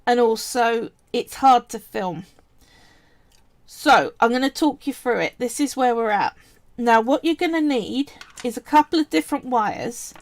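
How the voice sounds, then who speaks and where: High-voiced woman teaching clay sculpting. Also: no echo. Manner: chatty and explanatory, often pausing to say "right" and "so". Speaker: polymer clay tutorial streamer. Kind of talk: casual live-stream craft tutorial.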